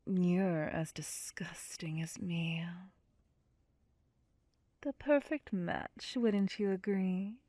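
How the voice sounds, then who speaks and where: A woman acting a slow, husky, whispering vampire seductress. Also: lowish voice, warm tone, dry recording. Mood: teasing, smug. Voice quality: breathy, husky. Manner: slow, teasing, seductive, domineering. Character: possessive, cocky, sadistic female vampire captor, playfully menacing. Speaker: voice actor performing audio roleplay. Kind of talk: ASMR roleplay, breathy close whispering.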